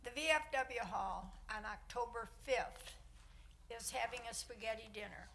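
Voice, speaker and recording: Husky-edged woman making an announcement. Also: on the high side, unhurried, fairly bright tone, slight background noise. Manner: friendly, informal. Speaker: public commenter. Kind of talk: community announcement.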